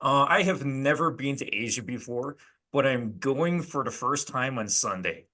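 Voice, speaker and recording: A man's voice: mid-range voice, expressive, moderate pace, bright, forceful tone. A man hosting a dating coach panel, chatty and casual as he moves the talk along.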